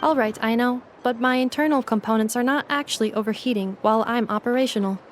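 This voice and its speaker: Woman as a precise, higher-voiced robot companion. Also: fast talker, a little hiss or hum, close to an everyday voice. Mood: unruffled, dry. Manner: precise, formal, unruffled. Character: polite, matter-of-fact female robot companion. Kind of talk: video game voice acting. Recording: background music behind the voice.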